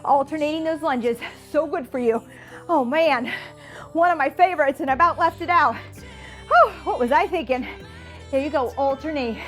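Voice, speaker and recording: A high-voiced woman leads a workout as a fitness instructor, counting and cueing moves. She is chatty and encouraging, slightly stuffed up, with faint music in the recording. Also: normal speed. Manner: chatty, encouraging, self-deprecating asides. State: stuffed-up nose.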